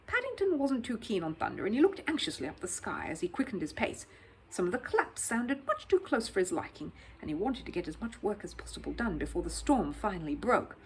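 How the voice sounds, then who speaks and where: A woman reading a children's story as an expressive, dramatic narrator. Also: medium pitch, a little fast, a little hiss or hum, low-quality recording. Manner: animated, dramatic.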